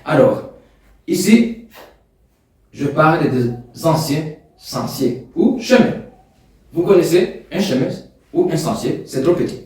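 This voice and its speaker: A man's voice: fairly high pitch, animated, takes it slowly, pauses often. Man preaching in echoey hall. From Rwanda. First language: Kinyarwanda. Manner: rises loud and emphatic on key points, drops soft in prayer. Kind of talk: sermon. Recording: echoey room, distant mic.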